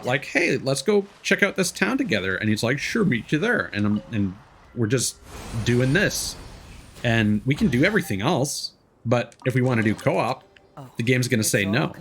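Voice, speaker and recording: A man's voice: mid-range voice, a bit bright. A man chatting casually as he hosts a game livestream, rambling and thinking aloud. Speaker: streamer and host. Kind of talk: casual livestream gameplay chat.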